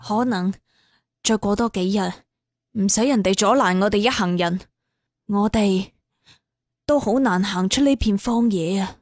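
A woman playing a modest, thoughtful young maiden in a martial fantasy audio story, polite and measured. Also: medium pitch, a little mellow, dry recording, studio quality, lightly acted. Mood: worried. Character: self-effacing young martial-world maiden, thoughtful and worried.

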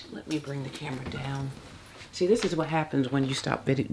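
A soft-spoken woman in her fifties, casually showing a handbag in her bedroom with halting speech and many fillers. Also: low-pitched, noisy background. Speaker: handbag vlogger. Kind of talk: casual product demo. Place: bedroom, seated on a bed. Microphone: external camera mic.

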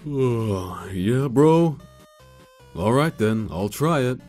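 A man voicing a laid-back, pun-loving cartoon skeleton who teases his brother in a lazy deadpan. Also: mid-range voice, drawn-out words. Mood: lazy, teasing. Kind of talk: comic dub cartoon acting. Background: music.

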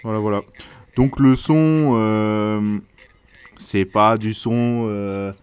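A man's voice: on the low side, steady tone, drawn-out words, darkish tone, smooth, no echo. A man giving an informal, hesitant, hands-on product review in casual slangy speech.

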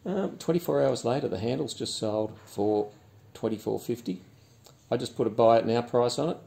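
A man, talking casually and haltingly in a garage as host of his own channel. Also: mid-range voice, vocal fry, warm tone. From Australia. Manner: rambling, hesitant with many fillers. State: hoarse after a night out. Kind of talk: casual vlog. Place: cluttered garage workshop.

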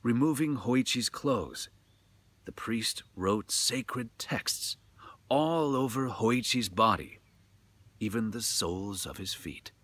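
A man's voice: mid-range voice, lively intonation, takes it slowly, fairly bright tone, slightly rough, dry recording. A middle-aged man who works as a storyteller, talking and narrating in a dramatic way. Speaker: storyteller, voice actor. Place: outdoors in a forest.

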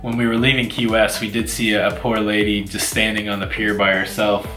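A man in his forties with a bright, forceful voice, chatting casually and circling his points with plenty of "uh"s. The sound is roomy and echoey, with faint music underneath. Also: lowish voice, little pitch movement, brisk. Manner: rambling, many "uh" fillers, self-deprecating. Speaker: vlog co-host. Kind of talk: casual chat. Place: indoors, seated before a virtual backdrop.

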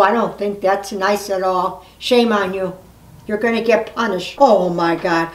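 An elderly woman reading messages aloud and commenting casually in a blunt, scolding, animated manner. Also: fairly high pitch. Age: 70s or older. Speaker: grandmother reading messages for a family video channel. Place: living room, indoors in an armchair. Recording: echoey room, distant mic, music.

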